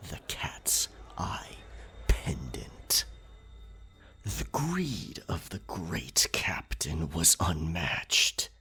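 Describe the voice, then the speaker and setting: Man voicing slow, whispery, gravelly storyteller. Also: low voice, sing-song, sharp tone, faint music behind, heavily acted. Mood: ominous storytelling. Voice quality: breathy, gravelly half-whisper. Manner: slow, theatrical, deliberate pauses. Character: pirate captain werecat, dominant, ominous campfire storyteller. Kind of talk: pirate roleplay ASMR, scary storytelling.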